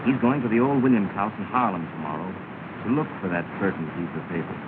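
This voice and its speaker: An actor playing a smooth, scheming young society suitor, clipped and deliberate in 1930s film style. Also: male voice, fairly low pitch, expressive, close to an everyday voice. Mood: conspiratorial. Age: young adult. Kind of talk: early 1930s film acting. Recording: noisy, tinny 1930 film soundtrack.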